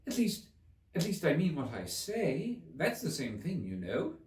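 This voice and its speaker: Man playing a high, prim storybook girl. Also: huge pitch swings, a little slow, echoey room, recorded from a distance. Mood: eager, flustered. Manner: polite but indignant, emphatic. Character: prim, indignant, curious young girl Alice in storybook.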